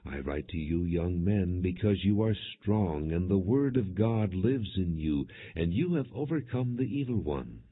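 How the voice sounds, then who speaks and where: Low husky guy reading the bible out loud, slow solemn phrasing, like an audiobook. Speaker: audiobook narrator. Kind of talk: non-dramatized Bible reading. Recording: muffled, phone-like narrow-band audio.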